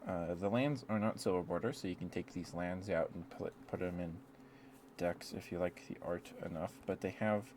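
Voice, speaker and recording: A man with a very low voice chats casually on an ASMR livestream, with clear noise behind him. He meanders and hesitates as he talks to his viewers, often sounding apologetic. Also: normal speed, darkish tone. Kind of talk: rambling ASMR stream, casual chat with viewers.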